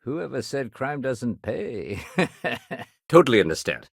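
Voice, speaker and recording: A man's voice: mid-range voice, big pitch swings, slow pace, creaky, slightly rough, no echo, clean studio sound, exaggerated voice. A man voicing a dutiful, weary security sergeant in a sci-fi video game, speaking in a clipped, businesslike but conversational way. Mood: casual, easygoing. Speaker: security officer. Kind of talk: video game dialogue acting.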